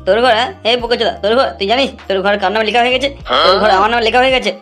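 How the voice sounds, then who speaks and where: A woman acts out a sly, greedy male swindler in a cartoon. The delivery is quick, colloquial and taunting. Music sits behind the words. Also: on the high side, a bit bright, close to an everyday voice. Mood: taunting, aggressive. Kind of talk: amateur cartoon voice acting. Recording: music under the voice.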